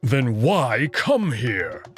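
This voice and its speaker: A man playing a proud, confident wolf-man noble in a visual novel, formal and deliberate in his wording. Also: medium pitch, wildly swooping pitch, faint music behind, heavily acted. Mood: angry, confrontational. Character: proud, confident male wolf-man noble, playful but hot-tempered.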